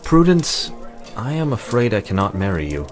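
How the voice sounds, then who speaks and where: Casting: man as solemn, formal fantasy warrior, very low voice, earnest deadpan, music underneath. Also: normal speed. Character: solemn, literal-minded adult male guard turned reluctant lord. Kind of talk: visual novel character acting.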